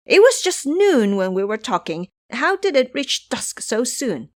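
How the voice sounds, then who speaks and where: An excitable little demon imp from an audio storybook, acted out by a woman, pitched high and swooping. He is gullible and easily awed, full of wide-eyed questions and exclamations. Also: unhurried, no echo. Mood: astonished, puzzled.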